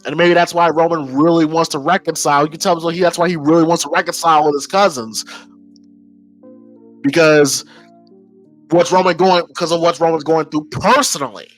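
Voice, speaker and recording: Higher-pitched American man over music. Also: fairly even intonation, normal speed. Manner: informal, emphatic, repeats phrases as he thinks aloud. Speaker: wrestling podcast host. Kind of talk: casual opinion commentary.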